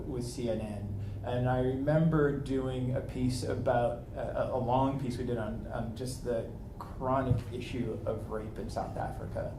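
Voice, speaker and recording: A man who works as a foreign correspondent, answering on a convention panel in a thoughtful, reflective way with frequent restarts. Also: medium pitch. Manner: thoughtful, many restarts, slows down on serious points. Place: convention meeting room. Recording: echoey room with background noise.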